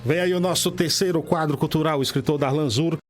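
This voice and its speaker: A man hosting a radio talk show, chatty and lively, cutting in with questions and remarks. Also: a little high, fast, soft background music. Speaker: radio host.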